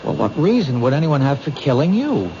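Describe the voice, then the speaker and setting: A man's voice: on the high side, very animated, fairly quick, dark tone. A man acting in a radio mystery as an earnest police detective, questioning in a persuasive, probing way. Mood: thoughtful. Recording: dull old radio recording, noisy background.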